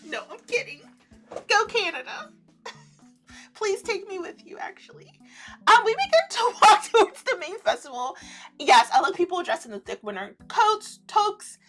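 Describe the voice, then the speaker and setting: Woman, let's-play narrator: extremely high pitch, highly animated range, giggly and gushing, music behind. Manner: giddy, giggly, gushing reactions breaking into the reading. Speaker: streamer playing and reading a visual novel aloud.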